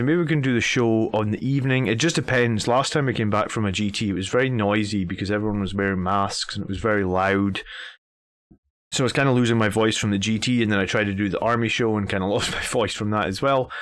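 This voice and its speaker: A man hosting a live stream in an easygoing, chatty way. He greets viewers one by one and talks with plenty of ums. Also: on the low side. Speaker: host of a live army list stream. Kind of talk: casual live-stream chat.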